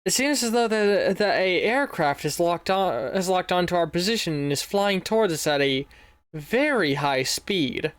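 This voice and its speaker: A calm female lab assistant reports the facts plainly, as a man voices her. Her voice is pitched a little higher than his own. The recording is close and dry. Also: moderate pace, no room sound. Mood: matter-of-fact.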